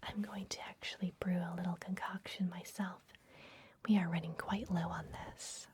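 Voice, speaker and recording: A woman voicing a secretive, gentle vampire librarian in a breathy, soothing whisper for an ASMR fantasy roleplay. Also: fairly low pitch, fairly even intonation, noisy background, natural voice. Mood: gentle, instructive. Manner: slow, soothing, confiding.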